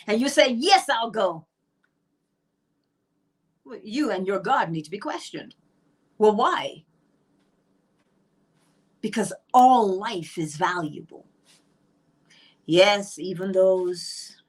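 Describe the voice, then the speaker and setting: A woman's voice: fairly low pitch, breathy edge. Barbadian lady, middle-aged, preaching about spirit and law, emphatic, asks and answers her own questions. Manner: emphatic, rhetorical questions, acted quotes. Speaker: spiritual teacher. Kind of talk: monologue on manifestation.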